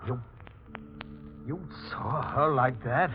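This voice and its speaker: An actor playing a skeptical, earnest police lieutenant with a halting manner in a 1940s radio mystery. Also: male voice, mid-range voice, lightly acted. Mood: shaken, disbelieving. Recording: dull, noisy 1940s radio recording.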